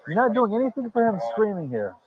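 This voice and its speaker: A man's voice: high voice, sing-song, quick pace, narrow, tinny audio. A man working as a police officer, giving firm, insistent, repeated orders in an exasperated way. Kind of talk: firm, repetitive commands at a scene.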